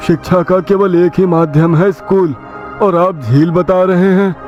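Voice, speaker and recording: A man's voice: high-pitched, fairly even intonation, measured pace, darkish tone, lo-fi sound, faint music behind. A man playing a doubting but gullible villager in a cartoon moral story. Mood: doubtful.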